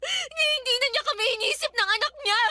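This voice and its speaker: A woman's voice: very high-pitched, expressive, quick pace, very noisy. A woman playing an aggrieved, combative young live-in partner and mother in a Filipino radio drama, protesting defiantly. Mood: bitter, outraged. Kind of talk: Tagalog radio drama acting.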